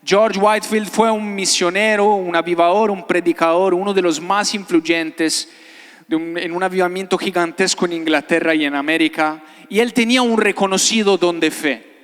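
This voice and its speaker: High-voiced man preaching in reverberant hall. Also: steady tone, normal speed, fairly bright tone, clear, resonant tone. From Italy. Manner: conversational, rhetorical questions and repeated phrases to engage listeners. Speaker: pastor, guest preacher. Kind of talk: sermon to a live congregation. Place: large church hall before a congregation.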